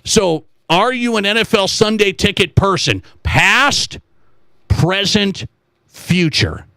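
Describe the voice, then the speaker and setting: A man with a high, bright voice talks as a sports radio host, recorded close and dry. He is conversational and animated, often posing rhetorical questions to his audience. He repeats key phrases to drive a point home. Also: slow, gravelly, dry recording.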